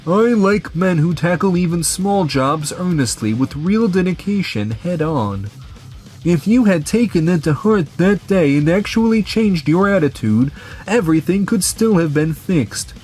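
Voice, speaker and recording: A man's voice: high voice, lively intonation, a little slow, darkish tone, slightly rough, full character voice. Stern corporate client for manga dub, performed by a man: formal, principled, coolly reproachful, faint music underneath. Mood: stern, disappointed. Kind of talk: scripted manga dub acting.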